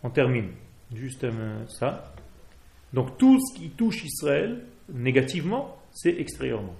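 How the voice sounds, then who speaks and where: A man's voice: medium pitch, sing-song, unhurried, gravelly, a little mellow, reverberant. A man from Israel with an Israeli accent and Hebrew as his first language, fervently exhorting a religious class. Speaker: rabbi. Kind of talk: Torah class.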